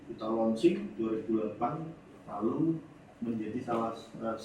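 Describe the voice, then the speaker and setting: A man's voice: fairly low pitch, normal speed. Man telling stories in an echoey room. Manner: lively anecdotal, finishes the host's phrases. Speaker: guest, animation studio founder. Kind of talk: casual interview storytelling. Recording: echoey room, distant mic, faint noise.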